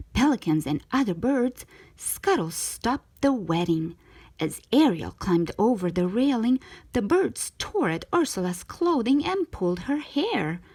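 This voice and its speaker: Female storyteller for children's picture books, breathy tone, expressive reading at an unhurried, very slow pace. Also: mid-range voice.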